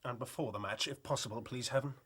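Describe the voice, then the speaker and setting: An actor playing a loyal, earnest young English team captain in a period radio drama, well-spoken and hearty. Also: male voice, medium pitch, quick pace, fairly bright tone, breathy edge. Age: young adult.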